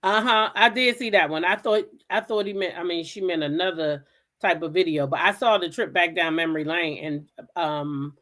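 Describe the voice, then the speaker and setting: Blunt American woman gossiping. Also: low voice. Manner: blunt, emphatic, repeats phrases. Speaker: host of a gossip talk show. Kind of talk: casual opinionated gossip commentary.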